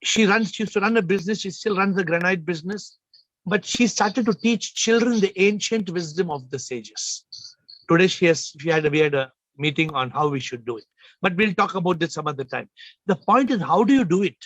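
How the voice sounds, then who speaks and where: A man hosting a spiritual video call, talking with a slightly low, subdued voice, emphatic and repeating phrases as he questions listeners. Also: lively intonation, brisk, a bit bright, no echo. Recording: muffled video call audio.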